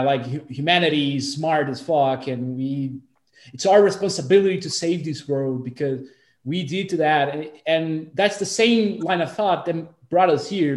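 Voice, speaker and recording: A man with a Brazilian accent speaks in a casual group discussion, stopping and restarting often and filling gaps with "uh" and "like". His voice sits a little high for a man. The room around him is echoey. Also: a little mellow. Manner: halting, many fillers and restarts. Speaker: discussion participant.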